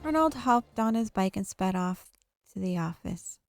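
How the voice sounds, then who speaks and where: A woman reads story narration aloud in an expressive storytelling style, with a medium-pitched voice. She occasionally stumbles and corrects a word as she goes. Music plays behind her. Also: normal speed, no echo. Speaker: streamer reading a visual novel aloud. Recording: background music under the voice. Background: game music.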